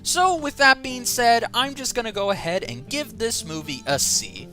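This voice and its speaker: A woman's voice: fairly high pitch, expressive, normal speed, sharp tone, soft background music. A woman giving a casual online film review, exasperated and sarcastic as she rants.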